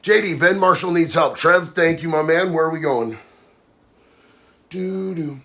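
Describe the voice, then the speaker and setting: A man's voice: fairly high pitch, measured pace, gravelly, fairly bright tone. Male streamer, nasal with a sinus infection, blunt and heated delivery. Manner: blunt, heated, says bro a lot. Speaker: livestream host. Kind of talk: casual live chat reading comments.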